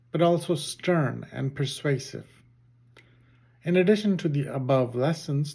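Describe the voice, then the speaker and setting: A man whose first language is Urdu, hosting his channel and giving a measured, formal reading of a religious text. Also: a little high, gravelly, dark tone, slightly rough. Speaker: channel host.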